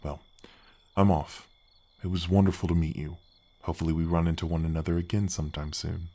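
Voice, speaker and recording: Very deep, gentle gargoyle played by a man. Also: flat delivery, fairly quick, slight background noise. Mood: kind, earnest. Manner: earnest, courteous, slightly bashful, hesitant phrasing. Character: big gentle helpful gargoyle guardian, kindly oaf. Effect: deep-voice pitch effect. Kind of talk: ASMR fantasy roleplay, soft conversational acting.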